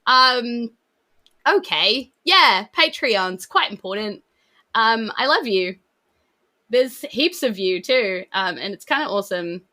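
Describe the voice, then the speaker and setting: A woman's voice: a little high, animated, slow, pushed and bright. A woman with a New Zealand accent hosting a casual podcast livestream, rambling and self-deprecating with a giggly manner. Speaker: podcast host.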